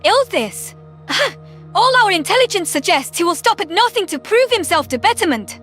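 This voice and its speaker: A man voicing an outspoken, sceptical human woman officer in a sci-fi audio story, questioning and animated. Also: very high-pitched, normal speed, soft background music, voice pushed up, exaggerated voice. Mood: incredulous.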